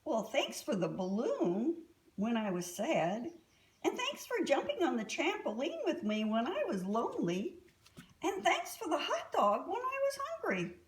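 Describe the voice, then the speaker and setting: A woman voicing a kind, gentle, grateful Jesus speaking to a child in a storybook. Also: high voice, huge pitch swings, a little slow, echoey room, recorded from a distance, a little hiss or hum, pitched above the performer's own voice, heavily acted.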